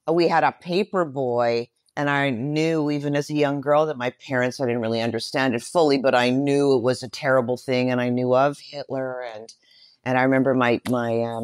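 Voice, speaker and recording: Low-voiced woman telling personal stories. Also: no echo, studio quality. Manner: expressive, emphatic repetition. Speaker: interview guest. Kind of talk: personal storytelling in conversation.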